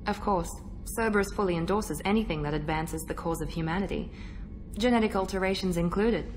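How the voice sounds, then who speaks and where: An actress playing a guarded, proud engineered operative in a video game, speaking in a measured, self-assured way over background music. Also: female voice, lowish voice, lightly acted. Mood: guarded, reflective. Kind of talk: video game dialogue acting.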